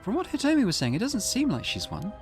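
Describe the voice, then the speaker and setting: A man's voice: high voice, huge pitch swings, fast, faint music behind, voice pushed up. A man voicing an earnest, polite and thoughtful young schoolgirl in a visual novel game.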